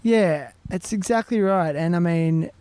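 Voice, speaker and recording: A man's voice: high-pitched, measured pace, a little mellow, clean tone. A man hosting a podcast, asking questions casually and teasing his guest in a friendly way. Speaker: podcast host. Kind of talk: casual interview.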